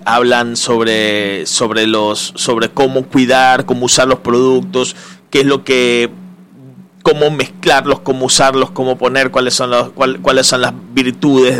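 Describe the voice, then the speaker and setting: Casual male host, middle-aged, conversational and agreement-seeking, mid-range pitch, some noise behind him. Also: fairly bright tone. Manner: conversational, frequently seeks agreement with tag questions. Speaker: interview host. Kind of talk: casual promotional interview.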